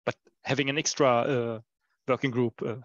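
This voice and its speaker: A man's voice: mid-range voice, steady tone, moderate pace, a bit bright, no echo. A man speaking hesitantly in a casual technical discussion on an online call, with slightly muffled audio. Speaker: meeting participant.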